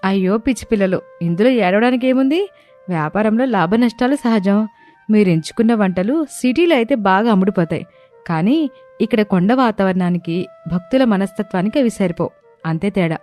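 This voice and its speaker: Forgiving, motherly elder sister-in-law from the village voiced by a woman, storybook drama, mid pitch. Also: lively intonation, fairly quick, darkish tone, soft background music, lightly acted. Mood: kindly, reassuring. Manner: motherly, reassuring.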